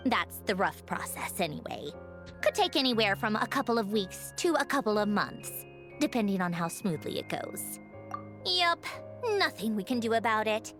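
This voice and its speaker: A breezy woman engineer in a video game, with very animated pitch swings. She is teasing, theatrical and sure of her authority, with music playing behind her. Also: normal speed. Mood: brisk, matter-of-fact.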